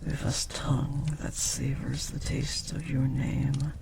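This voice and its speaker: A powerful demon, acted by a man, speaks slowly in a gravelly, creaking voice over clearly noisy ambience. He is possessive and devoted, with intense, formal phrasing and dramatic pauses, as in an intimate audio drama. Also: medium pitch, little pitch movement. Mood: tender, yearning. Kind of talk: intimate scripted audio roleplay.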